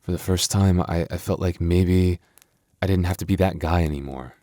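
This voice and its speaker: Man playing a very deep-voiced, gentle werewolf boyfriend. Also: normal speed, no echo, natural voice. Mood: regretful, vulnerable. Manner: soft, earnest, hesitant, stammering. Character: gentle young male werewolf boyfriend with regretful past. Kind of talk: intimate ASMR boyfriend roleplay.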